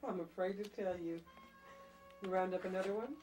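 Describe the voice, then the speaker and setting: Woman playing a worldly, low-voiced dance-hall boss. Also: fairly even intonation, moderate pace, darkish tone, soft background music, lightly acted. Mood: dry, businesslike. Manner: casual, clipped, coaxing. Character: worldly, breezy female co-owner of a dance hall. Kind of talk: dramatic dialogue in an audio play or table reading.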